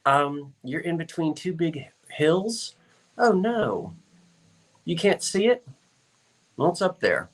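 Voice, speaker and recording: A man's voice: a little high, sing-song, slow, long pauses. A man hosting a live-stream glass sale, chatting casually with viewers, with lots of fillers and self-corrections. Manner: chatty, rambling, reads out viewer comments. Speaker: live-stream auction host.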